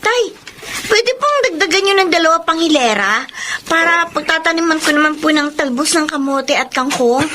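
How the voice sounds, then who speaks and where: A woman's voice: high voice, lively intonation, moderate pace, bright, forceful tone, slight background noise. A woman playing an eager, respectful teenage daughter with a Filipino accent in a radio drama. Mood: cheerful, coaxing.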